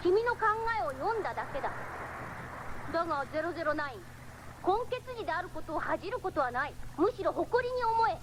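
A woman voicing a calm, wise telepathic baby boy cyborg in a radio drama, speaking in a matter-of-fact, preachy way. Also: extremely high pitch, a little fast. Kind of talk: 1970s anime-style radio drama acting. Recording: dull, noisy 1979 radio recording.

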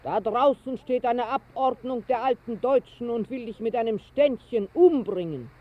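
An actress playing an owl that mimics a grand president's wife, with affected, urgent calling in a 1960s radio drama. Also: female voice, on the high side, normal speed, lo-fi sound, close to an everyday voice. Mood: affected alarm.

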